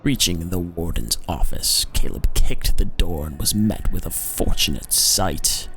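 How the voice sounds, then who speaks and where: Very deep, gravelly male dramatic narrator. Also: expressive, fairly bright tone. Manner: theatrical, deliberate storytelling with drawn-out emphasis. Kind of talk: dramatic scripted narration of dark lore. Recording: music behind the voice. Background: background music.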